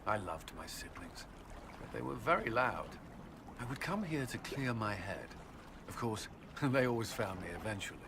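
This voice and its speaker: A man playing an earnest former Templar commander haunted by guilt, speaking haltingly and formally in a dramatic video game. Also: fairly low pitch, very animated, vocal fry, background noise. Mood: tender, reflective.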